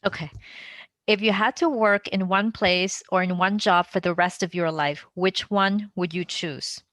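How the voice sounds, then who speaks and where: A woman's voice: lowish voice, fairly even intonation, a little slow, a bit bright, no echo. A woman hosting a podcast interview in a casual, conversational style, asking questions and explaining things to her guest. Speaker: podcast host.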